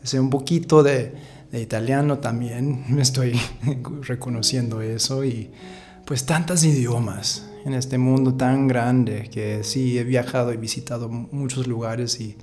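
A man's voice: medium pitch, fairly even intonation, measured pace, a little mellow, faint music behind. A man whose first language is English, talking in a casual, rambling and thoughtful way as a podcast host. He often restarts his sentences and chuckles now and then. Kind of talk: casual reflective monologue.

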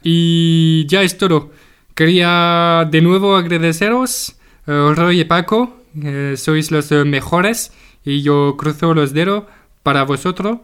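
A man's voice: on the high side, takes it slowly, a bit bright, clean tone. A man speaking learner Spanish informally and hesitantly, as if leaving a voice message for a show he follows. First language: French. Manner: hesitant, searching for words. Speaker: podcast listener sending a voice message.

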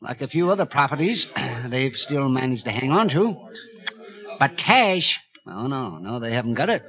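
A man's voice: mid-range voice, big pitch swings, normal speed, pushed and bright, low-quality recording. An actor playing a fussy, jovial male bank president who dithers and chatters with little mutters in a 1950s radio drama. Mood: confiding, chatty. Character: chuckling, fussy, food-loving small-town male bank president. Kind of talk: 1950s radio character acting.

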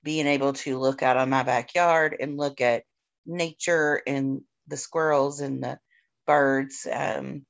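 A woman's voice: rumbling low, fairly even intonation, slow pace, creaky, lo-fi sound. A woman co-hosting a podcast, chatting in an agreeable, easygoing way with fillers. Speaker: podcast co-host. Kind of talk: casual podcast chat.

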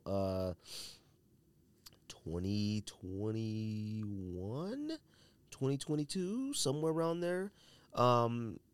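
A man's voice: fairly low pitch, a little mellow, no echo. A man hosting a sneaker podcast, giving casual, opinionated commentary in an emphatic, slangy way.

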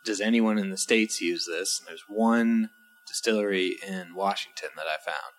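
A man with a lowish voice tells a story in an easygoing way on a podcast. He acts out both sides of a phone call as he goes. His manner stays calm and chatty. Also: moderate pace, slight background noise. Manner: relaxed anecdote-telling, acting out quotes. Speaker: podcast co-host, distiller.

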